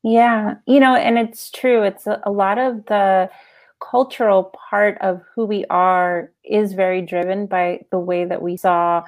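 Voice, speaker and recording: Woman host with gravelly fry. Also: medium pitch, fairly even intonation, a little slow. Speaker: podcast host, life coach. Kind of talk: podcast interview hosting.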